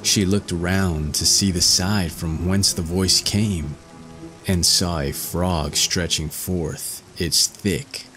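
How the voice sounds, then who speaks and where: A man's voice: low voice, steady tone, slow, a bit bright, soft background music. A man reading a fairy tale as storyteller, in scripted narration.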